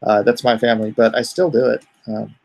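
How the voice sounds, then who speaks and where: A middle-aged man streaming live from home, reading chat aloud and answering it in an easygoing, even-tempered way. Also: medium pitch, brisk, creaky, low-quality recording. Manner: calls himself soothing and even-tempered; reads chat comments aloud and answers them.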